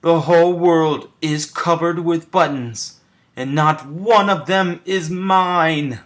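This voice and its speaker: Man doing a slow, grumpy storybook toad. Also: fairly high pitch, fairly bright tone, pitched above the performer's own voice. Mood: wailing, furious. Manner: emphatic, drawn-out complaining. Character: grumpy male toad, easily exasperated storybook character.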